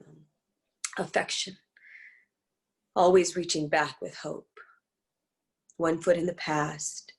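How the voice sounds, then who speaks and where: Woman narrator, lowish, creaky, measured, dramatic scripted storytelling.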